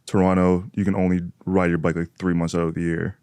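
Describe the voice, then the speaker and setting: A man hosting a podcast, chatting in a relaxed, rambling way with many fillers. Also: low voice, quick pace, slight background noise. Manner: laid-back, filler-heavy, rambling. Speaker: podcast host. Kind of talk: casual chat.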